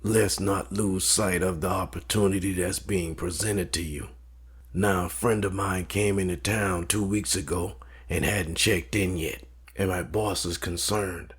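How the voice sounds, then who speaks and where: A man playing a smooth, menacing mob fixer in a crime audio drama, speaking in a measured, formal, coolly threatening way. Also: low voice, steady tone. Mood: cool, controlled menace.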